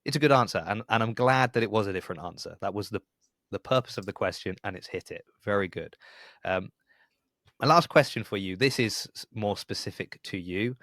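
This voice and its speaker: A middle-aged man with a fairly low voice, recorded close and dry with no room sound. He hosts a podcast in a casual, conversational way. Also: fairly quick, a bit bright, no echo. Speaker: podcast host. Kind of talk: casual interview chat.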